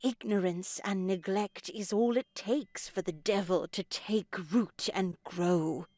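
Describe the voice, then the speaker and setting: A woman playing a stern, pious older nun in a horror game, preachy and condescending with quiet menace. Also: medium pitch, slow, no echo. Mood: solemn, preaching. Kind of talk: dramatic visual novel voice acting.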